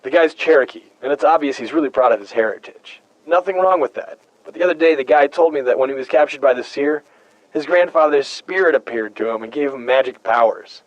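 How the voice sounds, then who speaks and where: An actor playing a weary, haunted human survivor stranded for decades on an alien planet, speaking in a brooding, hesitant, confiding way, as in a video game audio log. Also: male voice, medium pitch, a little fast, dry recording, muffled recording, lightly acted. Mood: doubtful, torn.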